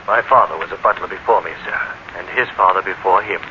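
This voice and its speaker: Young butler played by a man, stiff, evasive and proper, low register, vintage radio drama with clear hiss. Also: fast talker, close to an everyday voice. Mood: stiffly deferential. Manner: formal, deferential, indignant propriety. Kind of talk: 1940s radio drama acting. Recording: muffled old radio recording, clear hiss.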